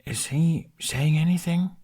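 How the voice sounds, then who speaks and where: A man's voice: on the high side, unhurried, mellow, voice pushed up. A man voicing a nervous, well-meaning boy in an audiobook, hesitant and apologetic as he stammers along. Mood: quiet curiosity. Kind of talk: audiobook character voice.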